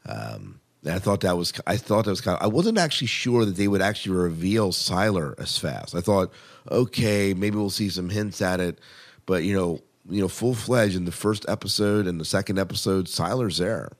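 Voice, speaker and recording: A man with a fairly deep voice hosts a casual review podcast. He talks in a conversational way, like someone steering a relaxed chat with a co-host. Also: normal speed.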